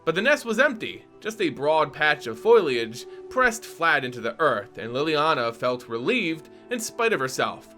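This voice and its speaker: A man's voice: on the high side, very animated, normal speed, few pauses, bright, forceful tone, soft background music. A man hosting a channel and giving a theatrical, playful dramatic reading of a story. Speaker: channel host and narrator.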